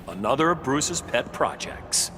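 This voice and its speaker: A man's voice: medium pitch, big pitch swings, measured pace. A man playing an arrogant, hardened superhero turned ruler in a video game, dismissive of his opponent. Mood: scornful. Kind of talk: video game character dialogue. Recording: loud game combat noise.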